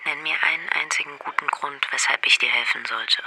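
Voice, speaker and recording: An actress playing a guarded, sceptical former lover, curt and challenging in a radio drama. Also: female voice, low voice, brisk, background noise, lightly acted.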